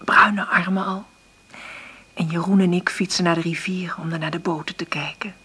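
A woman playing a middle-aged, hard-up mother in a naturalistic radio drama, understated and hesitant, with a husky, breathy voice. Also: fairly low pitch, fairly even intonation, normal speed, sharp tone, heavily acted. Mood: wistful, hushed. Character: hard-up, dreamy single mother, gentle but stubbornly hopeful.

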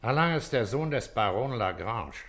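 An actor playing a persistent police detective in a German radio drama, probing in a terse, matter-of-fact way. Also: male voice, medium pitch, lively intonation, normal speed, fairly bright tone. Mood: grave, factual. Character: persistent, composed male police detective questioning a suspect.

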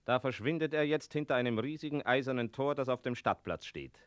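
An actor playing a wry storyteller who narrates a radio play in an explanatory way. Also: male voice, mid-range voice, fairly quick, no echo, natural voice.

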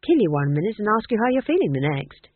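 A woman's voice: mid-range voice, big pitch swings, fast, breathy edge, no echo, narrow, tinny audio. A woman voicing a stern old witch in an audiobook, with a grim, curt, icy manner. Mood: dry contempt.

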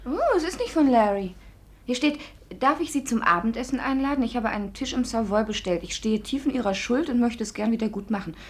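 A woman plays a curious, chatty friend in a radio play. Her voice is fairly high. She has a lively, teasing way of talking. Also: brisk, close to an everyday voice. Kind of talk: 1950s German radio play acting.

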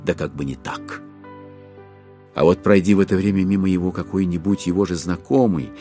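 A man narrating a literary audio play in a theatrical, rhetorical and expressive manner. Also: sits low, a little fast, faint music behind.

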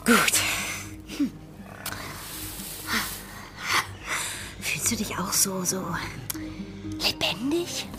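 A bold young female pirate from an audio drama. She is brash, spirited and commanding, in a mid-pitched voice, with music behind her. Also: very animated, slow pace. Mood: exhilarated. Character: bold young pirate woman disguised as a boy.

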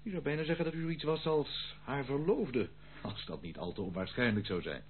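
A man's voice: medium pitch, expressive, a little fast, close to an everyday voice. An actor playing a roguish, secretive male intruder in a radio play, nonchalant, teasing and theatrical. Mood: cajoling. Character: roguish, secretive prodigal intruder, jaunty and sly. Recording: tinny old radio recording, faint noise.

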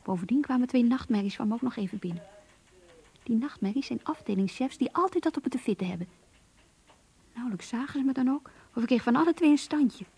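Radio drama diarist: idealistic young woman, troubled and searching, mellow tone, fairly high voice. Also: expressive, fast talker, slightly rough, full character voice. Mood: distressed, recounting. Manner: introspective, pleading, searching. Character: earnest, troubled idealistic young female office worker, confiding. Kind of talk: radio play diary monologue.

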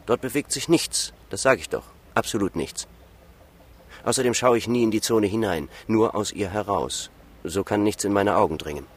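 An actor playing a stiff, fearful guard in a radio drama, speaking in a formal, pedantic manner. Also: male voice, fairly low pitch, moderate pace, slightly rough. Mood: insistent.

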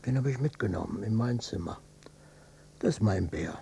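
An actor playing a gruff, stubborn old father and war veteran in a German radio drama, with a husky, gravelly voice and a curt, defensive manner. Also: lowish voice, lively intonation, normal speed, mellow, slight background noise. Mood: grumbling, sardonic.

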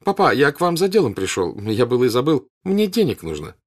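A man's voice: medium pitch, huge pitch swings, brisk, pitched above the performer's own voice. A man voicing an embarrassed young nobleman in an audiobook, strained and falsely casual. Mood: sheepish.

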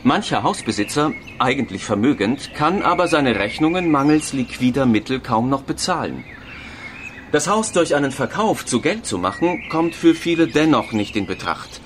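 An actor playing an assured finance magazine presenter, reading scripted narration in a radio play. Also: male voice, mid-range voice, lively intonation, moderate pace, a little hiss or hum, close to an everyday voice. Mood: explanatory.

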